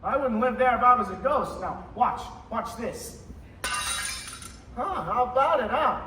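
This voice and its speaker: A man's voice: very high-pitched, lively intonation, takes it slowly, full character voice. A young male actor playing an eager, restless small-town young man with big dreams, in a stage radio play. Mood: excited, boastful. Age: teenager. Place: high school theater stage. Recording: roomy stage recording, distant mic, faint noise.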